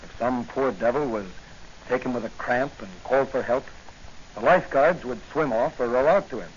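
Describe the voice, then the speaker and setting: An actor playing a bold, stern masked police hero in a 1940s radio drama, commanding and righteous, on a muffled, noisy old recording. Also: male voice, mid-range voice, moderate pace, lightly acted. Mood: calm, explaining.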